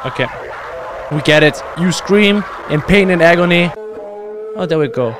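Young adult man, reaction host, somewhat high voice, exclamatory slang and swearing, close mic with slight noise behind. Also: normal speed. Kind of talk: casual reaction commentary. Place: small indoor gaming room at home. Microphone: close desk microphone on boom arm.

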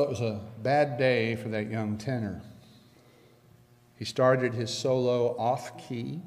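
An older man preaching a sermon from a church pulpit, earnest and measured, with slow emphatic pauses. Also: mid-range voice. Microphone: pulpit stand mic. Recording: long reverb of a church hall.

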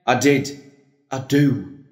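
An actor playing an earnest, pleading priest torn by an old love in an audio drama. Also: male voice, medium pitch, slow, natural voice. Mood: tender, earnest. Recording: roomy, echoing space.

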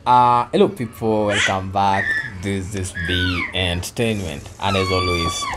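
A man's voice: lowish voice, huge pitch swings, unhurried, over loud music. A Kenyan man in his twenties hosting a casual storytelling chat, mixing Swahili and English. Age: 20s. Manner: code-switching between Swahili and English. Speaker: host. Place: indoor living room on a sofa. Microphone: shared handheld microphone.

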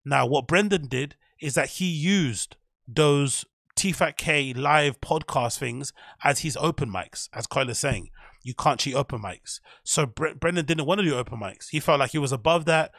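A man talks through his theory in a loose, meandering way, often correcting himself as he goes. His voice has a gravelly edge. The sound is dry and close, with no room around it. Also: a little high, moderate pace, a bit bright, no echo. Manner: rambling, self-correcting, opinionated. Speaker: podcast host. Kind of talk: casual commentary monologue.

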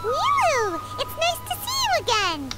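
An actress voicing a small, excitable childlike fairy companion in an anime-style game, with music behind her. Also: extremely high pitch, measured pace, exaggerated voice. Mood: cheerful. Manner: speaks of herself in third person, exclaiming.

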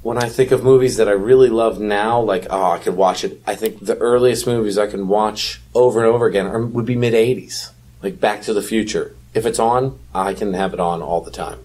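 A man with a husky voice chatting casually and enthusiastically as a podcast co-host about films. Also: on the low side, fairly quick, darkish tone.

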